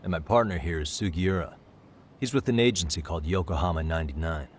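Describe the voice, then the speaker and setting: Male actor as calm detective, low-pitched, measured questioning, persistent, game dub, background noise. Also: lightly acted. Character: composed, persistent male private detective and lawyer, adult. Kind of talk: English-dubbed video game cutscene acting.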